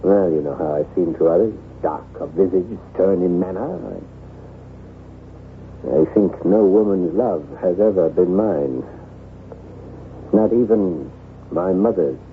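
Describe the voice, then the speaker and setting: An actor playing a lonely, self-loathing aristocratic former soldier in theatrical, formal, halting radio drama style. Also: male voice, deep voice. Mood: brooding, bitter. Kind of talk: old-time radio drama acting. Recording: tinny band-limited vintage radio, hissy.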